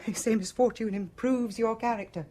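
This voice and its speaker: An actress with a British accent playing a bitter, fatalistic wife in a radio drama, guarded and weary. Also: female voice, on the high side, fairly even intonation, normal speed, mellow, lightly acted. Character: brittle, bitter, fatalistic wife of a cruel husband.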